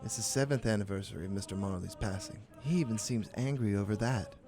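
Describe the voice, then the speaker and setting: An actor in a radio play playing a meek, kindly office clerk, polite and apologetic. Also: male voice, lowish voice, quick pace, mellow, lightly acted. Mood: worried. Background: guitar music.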